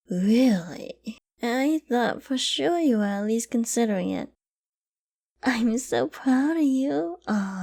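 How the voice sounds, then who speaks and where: Woman acting a slow, breathy possessive fox-girl. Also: a little high, full character voice. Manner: soft, slow, breathy, often dropping to a whisper. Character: possessive, lovestruck, dominant yandere fox-girl mage. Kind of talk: intimate ASMR roleplay acting.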